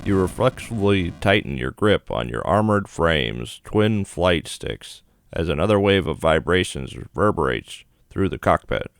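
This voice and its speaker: A man's voice: sits low, lively intonation, a little slow, creaky, no room sound. A man reading the narration of a visual novel aloud as a gaming video host.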